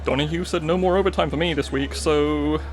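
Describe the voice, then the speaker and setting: A man acting a dry, good-humoured police officer bantering casually in an audio drama, measured and teasing. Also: fairly high pitch, steady tone, close to an everyday voice. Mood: deadpan. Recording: music behind the voice.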